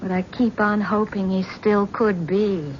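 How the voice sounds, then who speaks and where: Woman as middle-aged wife, anxious, halting, pleading, vintage radio drama, dull sound. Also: mid-range voice, fairly even intonation, slight background noise, lightly acted. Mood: regretful. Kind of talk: 1970s radio drama acting.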